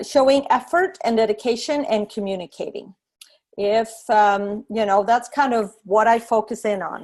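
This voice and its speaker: Woman instructor, 30s, from Sweden; casual online lecture, computer mic, easygoing with lots of fillers. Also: fairly high pitch. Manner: relaxed, many fillers and restarts.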